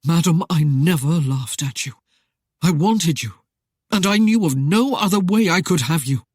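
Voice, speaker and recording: A woman's voice: fairly low pitch, unhurried, darkish tone, slightly rough, no room sound, clean studio sound. A woman voicing a self-assured nobleman who speaks in a formal, leisurely drawl. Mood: earnest.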